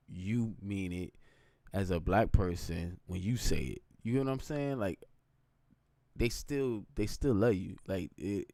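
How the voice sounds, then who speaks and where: A man with a deep, low voice talking informally in a casual, rambling livestream monologue, often checking in with his listeners. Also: warm tone, no room sound. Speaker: live streamer.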